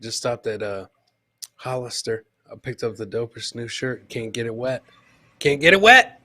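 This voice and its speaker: A man's voice: medium pitch, expressive, normal speed, sharp tone, no room sound. A man hosting a live comedy clip show, joking and teasing as he comments on videos and repeats his punchlines.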